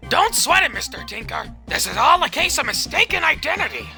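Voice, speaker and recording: Sharp, high-voiced young cartoon detective. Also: male voice, sing-song, fairly quick, gravelly, exaggerated voice. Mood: breezy. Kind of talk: comic dub character acting. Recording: music behind the voice.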